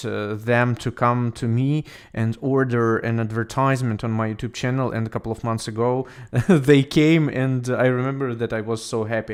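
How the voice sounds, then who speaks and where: Smooth-voiced man with a Russian accent. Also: mid-range voice. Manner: encouraging, positive. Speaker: host of an English speaking club. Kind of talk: casual online conversation.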